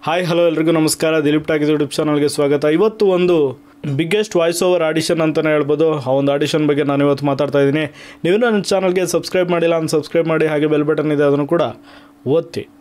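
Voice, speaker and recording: A man's voice: on the high side, fairly even intonation, fast talker, a little mellow. A man hosting an explanatory vlog, mixing in English terms and listing things emphatically. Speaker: YouTube channel host. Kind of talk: explanatory vlog talk to viewers.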